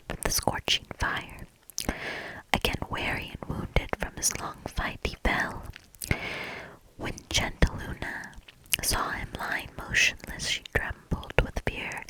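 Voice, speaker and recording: A woman whispering a fairy tale as ASMR, soft-spoken and deliberate, with measured storybook phrasing. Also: poor audio.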